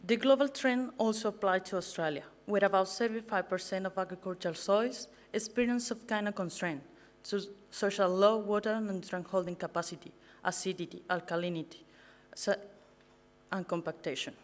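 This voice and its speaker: A young woman with a Colombian Spanish accent speaks at an event, her first language plainly Spanish. She delivers a prepared talk with earnest care, a little nervous and sometimes tripping over words. Her pitch sits in an ordinary middle range. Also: brisk. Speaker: guest speaker, master's student. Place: event venue.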